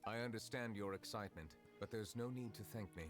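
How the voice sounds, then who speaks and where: Male actor as reserved, dignified game character; deep register, formal measured delivery, solemn and courteous. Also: soft background music, lightly acted. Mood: solemn, kindly. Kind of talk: video game voice acting.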